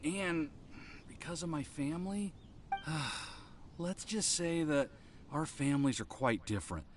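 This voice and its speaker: Shy, lively-pitched young man. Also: slow pace, a little hiss or hum. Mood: nervous, shy. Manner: hesitant, timid, stammering. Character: shy, lovestruck young man, anxious and earnest. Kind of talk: anime game voice acting. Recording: game music behind the voice.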